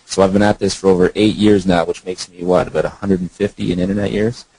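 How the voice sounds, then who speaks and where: A man's voice: deep voice, little pitch movement, quick pace, warm tone, breathy edge, no room sound, low-quality recording. A man speaking as an online marketer and co-founder on a phone conference call, informal and explanatory.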